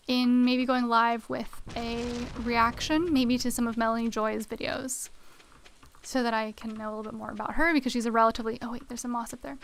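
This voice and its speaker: Young woman, slightly high voice, casual gaming stream chatter, says "like" a lot, reads out chat, voice a little worn. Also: a bit bright. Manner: chatty, reads out chat messages, many fillers like "like". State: slightly losing her voice.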